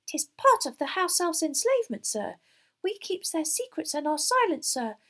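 A woman voicing a small, nervous, devoted male house elf for a storybook, squeaky, deferential and excitable, with broken grammar. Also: moderate pace, full character voice. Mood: earnest, confidential.

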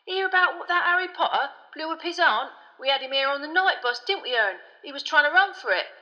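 A woman's voice: high-pitched, animated, a little fast, bright, forceful tone, long reverb tail, dull audio, voice pushed up. A woman voicing a chatty, easily spooked young male bus conductor in an audiobook, with colloquial speech and dramatic tag questions. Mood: gossipy. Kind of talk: audiobook character voice.

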